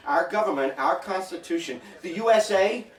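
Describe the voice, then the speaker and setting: An actor playing a defiant, idealistic older American prisoner of war in a radio drama, declaiming righteously with an American accent. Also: male voice, sits high, expressive, normal speed, recorded from a distance. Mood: righteous fervour. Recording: roomy, noisy crowd scene, off-mic.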